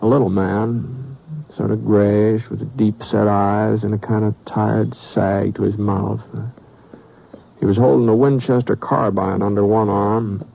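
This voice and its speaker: A man's voice: fairly low pitch, steady tone, unhurried, gravelly. An American actor playing a terse, plain-spoken frontier lawman who tells his own story in a 1950s western radio drama. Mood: measured narrating. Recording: muffled old radio recording with background hiss.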